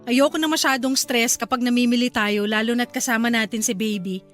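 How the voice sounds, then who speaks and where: A woman's voice: fairly high pitch, fairly even intonation, brisk, fairly bright tone, lightly acted. An actress playing a caring young wife and mother in a radio drama, sweet and polite to her elders. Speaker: wife character. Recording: faint music bed behind.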